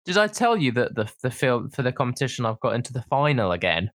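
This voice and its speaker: A young man co-hosting a podcast, chatting casually and teasing his friend with quick jokes. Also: medium pitch, big pitch swings, background noise. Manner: joking, teasing. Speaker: podcast co-host. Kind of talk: casual chat.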